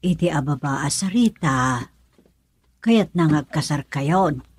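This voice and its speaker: A concerned mother in a radio drama. Her voice is very low and husky, with lively swings in pitch. She probes and reproaches her grown son. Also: slow pace, no room sound, exaggerated voice. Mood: concerned, probing. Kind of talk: Ilocano radio drama acting.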